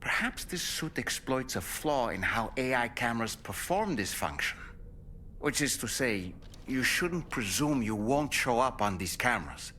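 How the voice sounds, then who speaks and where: An actor playing an erudite, chatty psychologist companion in a game, speaking in an articulate, wry, professorial way. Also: male voice, medium pitch, animated, unhurried, bright, forceful tone, a little hiss or hum. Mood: musing, explanatory. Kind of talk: game companion dialogue, explanatory.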